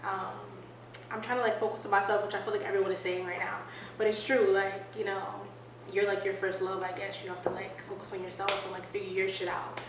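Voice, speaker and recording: A woman's voice: medium pitch, little pitch movement, brisk. A twenty-six-year-old woman speaking candidly and chattily in an interview, with lots of "like". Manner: candid, chatty, says "like" often. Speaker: singer-songwriter. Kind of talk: casual interview answers. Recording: very echoey, distant mic, hum.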